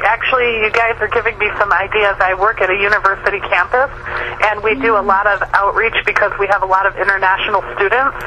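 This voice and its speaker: A woman calling in on a noisy telephone line, chatting casually in an appreciative, enthusiastic way. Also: mid-range voice. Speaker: caller, fundraising volunteer. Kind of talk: casual phone conversation.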